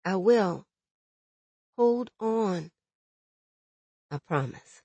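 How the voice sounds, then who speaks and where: A woman voicing a loving, steadfast young woman in an audiobook, speaking in a gentle, earnest and reassuring way. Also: medium pitch, expressive, very slow, breathy edge, no room sound, highs cut off, full character voice. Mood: tender, earnest. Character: loving, steadfast pregnant young woman soothing her jailed lover. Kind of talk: dramatic audiobook character dialogue.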